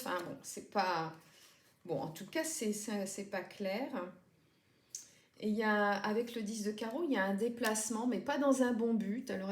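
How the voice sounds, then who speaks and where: A woman giving a casual tarot reading, chatting and rambling with many fillers and self-corrections. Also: mid-range voice. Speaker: tarot reader. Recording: echoey room with clear background noise.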